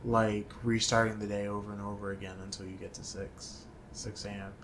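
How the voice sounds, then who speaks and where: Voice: low-pitched, flat delivery, fairly quick, slight background noise. A co-host chatting casually about films in a laid-back, wry way. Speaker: co-host of a video game film review show. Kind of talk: casual chat.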